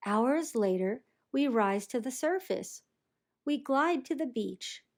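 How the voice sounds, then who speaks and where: Woman, slow pace, expressive storybook narration, animated delivery. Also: a little high. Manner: animated, acts out sound effects and character lines. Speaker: storybook reader. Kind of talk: expressive children's read-aloud narration.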